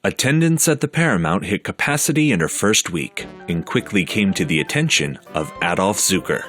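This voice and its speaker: A man narrating a documentary in an even, measured scripted delivery. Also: sits low, soft background music.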